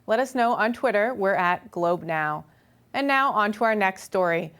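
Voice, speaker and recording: A woman's voice: mid-range voice, animated, normal speed, fairly bright tone. Woman hosting a web news show. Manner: crisp scripted delivery, conversational in interview. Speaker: host.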